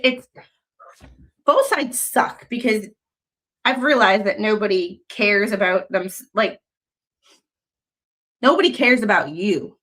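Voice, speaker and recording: A woman's voice: fairly high pitch, pushed and bright. A young adult woman from the United States, hosting a casual livestream, talking in a rambling way with many fillers and restarts, and reading news excerpts aloud. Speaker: livestream host.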